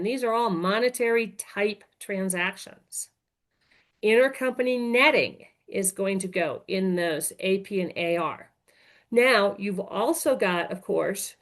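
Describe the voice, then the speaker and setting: A woman giving an informal, explanatory webinar as a software trainer, phrasing things piece by piece and correcting herself as she goes. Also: mid-range voice.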